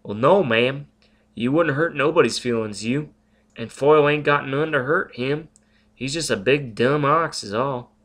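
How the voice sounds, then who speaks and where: A man's voice: medium pitch, measured pace, fairly bright tone, voice pushed up. A plain-spoken, folksy sergeant in a storybook, as a male reader puts him on. He talks simply, with homespun grammar.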